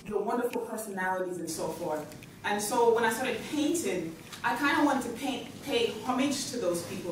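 A woman, giving a hesitant, soft-spoken artist's talk at a lectern in a gallery, with many ums and uhs. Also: mid-range voice, background noise. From Jamaica. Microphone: podium mic recorded by a far camera. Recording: echoey gallery from far off.

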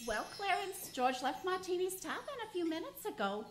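A woman playing a gentle heavenly overseer explaining events, in an amateur radio play. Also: extremely high pitch, sing-song, normal speed, reverberant, background noise. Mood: calm.

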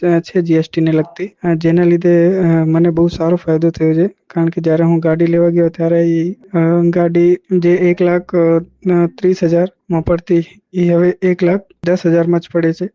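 A man giving a plain, unscripted customer testimonial in a halting, hesitant way. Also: on the high side, monotone, no room sound. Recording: dull, lo-fi voice clip.

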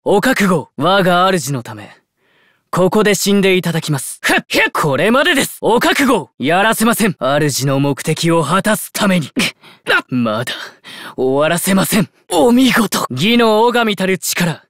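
An actor playing a young god of justice in a game, a loyal, formal and condescending warrior. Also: male voice, high-pitched, sing-song, moderate pace, fairly bright tone, dry recording, studio quality, full character voice. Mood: fierce, resolute. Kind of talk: Japanese video game battle lines.

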